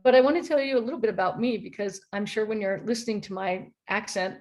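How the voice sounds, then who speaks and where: A woman from the United States with an American accent, giving a conference panel talk as a philosophy professor, friendly and halting, with many ums and restarts. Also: medium pitch, darkish tone, dull audio.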